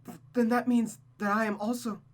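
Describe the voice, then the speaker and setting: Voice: flat delivery, moderate pace, close to an everyday voice. A performer voicing an anxious, doubting young woman in a visual novel, hesitant and pleading, with music behind. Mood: horrified. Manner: hesitant, pleading, higher-pitched.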